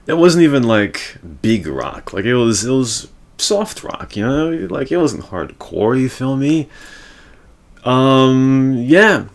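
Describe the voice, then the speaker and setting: A man's voice: medium pitch, very animated, takes it slowly. A man hosting a casual podcast chat, laid-back and teasing, full of fillers and slang. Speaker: podcast host.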